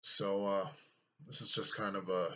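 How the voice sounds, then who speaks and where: A man in his thirties with a deep voice, talking to his webcam in a casual vlog from a small room, unhurried and thoughtful, with many fillers. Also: flat delivery, pushed and bright. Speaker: YouTuber.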